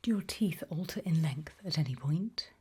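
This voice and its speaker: A woman whispering softly in an ASMR roleplay as a tailor, asking questions in a polite, measured, formal manner. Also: low voice, expressive. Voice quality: soft, breathy unvoiced whisper.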